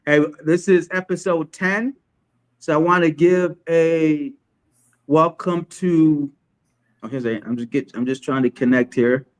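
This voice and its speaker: A man from Chad hosting an online talk show, speaking casually with frequent restarts and pauses as he sets things up and welcomes viewers. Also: on the high side. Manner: halting, many restarts while setting up. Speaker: host. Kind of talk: casual livestream interview hosting.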